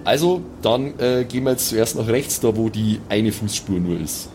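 A man's voice: fairly low pitch, lively intonation, moderate pace, darkish tone, faint music behind. A man with a southern German accent, talking casually and colloquially in an improvised role-play game. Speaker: player in a pen and paper role-play podcast.

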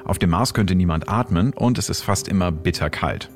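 Very deep-voiced male science podcast narrator. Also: moderate pace, faint music behind. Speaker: host and science presenter. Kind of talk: scripted explanatory narration.

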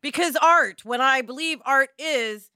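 A woman's voice: sits high, measured pace, sharp tone, no room sound, clean studio sound. An American woman from New York City in a casual panel discussion, talking emphatically and in anecdotes. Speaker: podcast panelist.

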